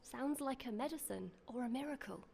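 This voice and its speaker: A woman's voice: high voice, moderate pace, creaky, warm tone, a little hiss or hum. Actress as teasing young English woman, faint ambience. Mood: curious. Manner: well-spoken, teasing, wry. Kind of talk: period radio drama acting.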